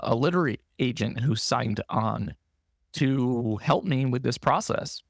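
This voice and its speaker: A man talks straight to his podcast listeners in an earnest, encouraging way, filling pauses with "um" and "like". His voice is gravelly and worn, as if he is losing it. The sound is close and dry, with no room around it. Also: mid-range voice, no room sound. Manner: earnest, encouraging, frequent fillers like "um" and "like". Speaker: podcast host. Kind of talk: solo podcast monologue, coaching tone.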